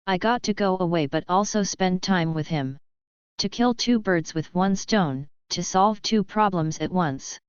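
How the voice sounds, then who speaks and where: A woman slowly reading English idioms with their meanings and example sentences, repeating each one. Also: fairly low pitch, clear, resonant tone, no echo. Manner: reads each line plainly and repeats it. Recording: dull, slightly lo-fi voice track.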